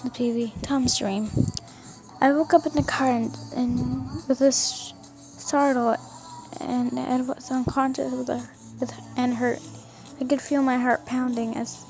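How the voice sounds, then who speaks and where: Woman, amateur story narration, halting with stumbles and repeats, mellow soft-edged tone, creaky, music behind. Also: fairly high pitch, rough recording. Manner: halting, stumbles and rereads words. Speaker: amateur narrator reading fan fiction. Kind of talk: read-aloud first-person story narration.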